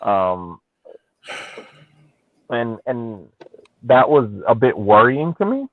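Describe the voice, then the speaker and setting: A man on a sports podcast panel giving casual analysis, haltingly searching for his words, over a thin connection. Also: mid-range voice, sing-song, dry recording. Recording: tinny phone-like connection, dry.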